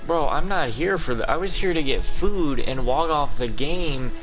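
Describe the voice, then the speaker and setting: A man's voice: a little high, expressive, normal speed, fairly bright tone, soft background music, poor audio. A man giving casual gameplay commentary as a gaming streamer, slangy and exclamatory, given to swearing.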